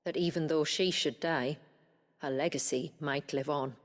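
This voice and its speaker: An actress playing a grave village woman who recounts her people's tragic past in a measured, formal, earnest way for a video game. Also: low voice, fairly even intonation, natural voice. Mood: grave, reverent. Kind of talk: solemn scripted storytelling in video game drama.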